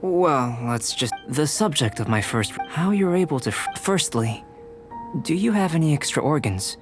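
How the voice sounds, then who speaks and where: A man voicing a calm, curious young male alchemist in a video game, with a measured, detached manner of musing aloud. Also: a little high, natural voice. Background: game music.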